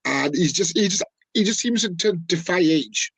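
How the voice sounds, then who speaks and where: A man's voice: high-pitched, expressive, moderate pace, creaky, a bit bright, slightly rough, no echo. A man talking football casually as a podcast guest, rambling and enthusiastic with many fillers. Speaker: guest, team fan.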